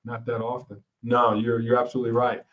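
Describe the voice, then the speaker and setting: Ohio-born male host, warm agreeable interviewer, mid-range voice. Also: mid-range voice, monotone, fairly quick, recorded from a distance, narrow, tinny audio. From Ohio, USA. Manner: affirming, frequent agreement, gracious. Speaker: podcast host. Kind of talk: casual interview.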